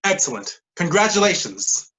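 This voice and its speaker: A persuasive scheme recruiter played by an actor in a staged play reading. The voice sits at a middling pitch with a slightly husky edge, pressing insistently in clipped phrases while dodging plain words. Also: sing-song, moderate pace, a bit bright, low-quality recording. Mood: insistent, earnest. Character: smooth-talking, pushy pyramid-scheme recruiter mentoring a junior coworker.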